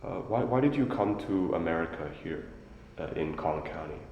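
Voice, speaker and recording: Young student interviewer, deep-voiced man, casual and stumbling, in a roomy hall. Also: animated, fairly quick, lo-fi sound. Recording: roomy hall with faint noise.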